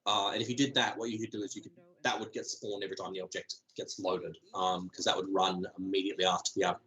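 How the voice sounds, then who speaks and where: A man answering technical questions in a casual chat, thinking aloud with many ums and restarts, his voice going hoarse. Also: low voice, little pitch movement, fast, a bit bright, rough recording. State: losing his voice, clearing throat. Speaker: developer answering community questions.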